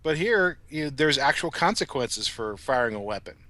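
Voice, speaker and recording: A man's voice: mid-range voice, lively intonation, normal speed, pushed and bright. A man co-hosting a casual podcast discussion in a wry, joking way. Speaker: podcast co-host. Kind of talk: casual humorous episode discussion.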